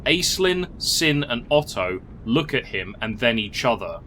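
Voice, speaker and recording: A man reading a scripted fantasy story in a measured, literary storytelling manner. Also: medium pitch, sing-song, noisy background. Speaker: narrator. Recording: music clearly under the voice. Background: music.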